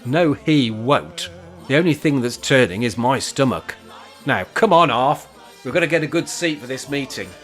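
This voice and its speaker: Radio play role: older English male resident, sly and dryly jokey, medium-pitched man performing. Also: animated, moderate pace, a bit bright, faint music behind, natural voice. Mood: exasperated. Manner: jokey, mock-grand turns of phrase. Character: wry, scheming older man, a care home resident. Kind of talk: amateur radio play acting.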